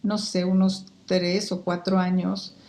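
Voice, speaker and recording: An older woman from Guatemala who speaks with a Mexican accent, a guest telling lively stories in a casual interview. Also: lowish voice, slow, roomy sound. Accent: Mexican Spanish. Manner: animated storyteller, picks up accents.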